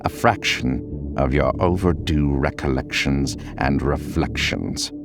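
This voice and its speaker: A man voices a cryptic old-world Count in a dramatic audio story. He is extremely low and slow, grave and full of disdain. Music plays behind his measured, condescending words. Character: cryptic, disdainful male Count, an ancient guide delivering hard truths.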